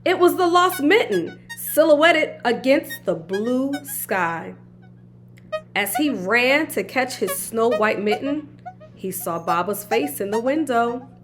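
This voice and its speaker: Animated, high-pitched woman reading a children's story. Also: slow. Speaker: children's read-aloud host. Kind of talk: expressive children's picture book narration. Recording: music behind the voice. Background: background music.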